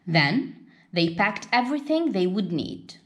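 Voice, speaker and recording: Woman reading a children's story, lively intonation. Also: mid-range voice, unhurried. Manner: expressive, dramatic storytelling delivery. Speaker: storyteller reading a picture book aloud.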